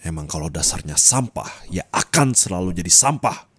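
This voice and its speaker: A man playing a cold, bitter young ex-boyfriend in an ASMR roleplay, speaking flatly and dismissively. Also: deep voice, normal speed, slightly rough, exaggerated voice.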